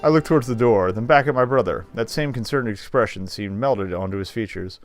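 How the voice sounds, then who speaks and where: A man's voice: lowish voice, brisk. A man streaming a game, casually commenting and reading the on-screen text aloud. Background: faint game music.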